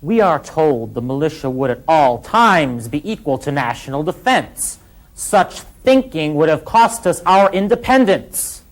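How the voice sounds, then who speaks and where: An actor playing an eloquent eighteenth-century delegate, speaking with courtly, deliberate oratory in a period drama. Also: male voice, on the high side, sing-song, rough recording. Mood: measured, earnest. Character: measured, eloquent male 18th-century delegate. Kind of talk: period stage-like debate acting.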